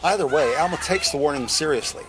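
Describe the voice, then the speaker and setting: A man's voice: on the high side, fast talker, music in the background, poor audio. A man narrating a scripted audio drama with detailed, descriptive storytelling.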